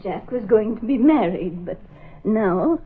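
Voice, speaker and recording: A woman's voice: mid-range voice, lively intonation, a little slow, husky. An actress playing a proud, weary old widowed mother in a 1930s radio drama. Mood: weary, resigned. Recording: muffled band-limited old radio recording.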